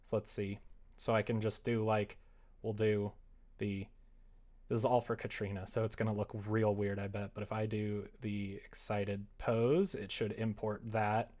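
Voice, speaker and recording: A man chatting casually on a livestream as he works, rambling and self-deprecating, often muttering to himself, with a gravelly, creaky voice. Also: on the low side, level tone, moderate pace, darkish tone. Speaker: live streamer, game developer. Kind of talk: casual livestream chat while working on screen.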